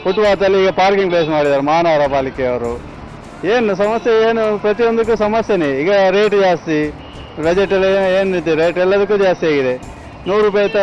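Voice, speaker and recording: A man's voice: sits high, darkish tone, rough recording. A plain-spoken man with a Mangalore accent complaining in a street interview. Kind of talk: street vox pop.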